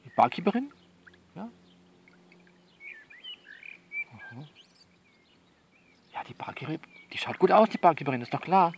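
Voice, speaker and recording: A man playing a frank, worldly coach who talks a female client through things by phone in a blunt, coaxing, colloquial way with repeats and pauses. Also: a little high, sing-song, gravelly, background noise. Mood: matter-of-fact, advising. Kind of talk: improvised-sounding one-sided phone monologue in an audio novel.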